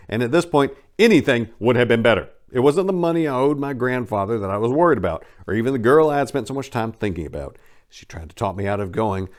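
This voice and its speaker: A man giving a dramatic reading in a conversational, storytelling way with emphasis on key words. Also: fairly low pitch. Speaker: host narrating a dramatic book reading. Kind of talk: dramatic first-person audiobook reading.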